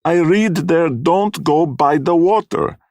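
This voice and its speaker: A man's voice: fairly high pitch, takes it slowly, a little mellow, no echo, clean studio sound, voice pushed up. A man voicing an old immigrant woman fortune-teller in a story, pleading defensively in broken English. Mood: pleading, frightened.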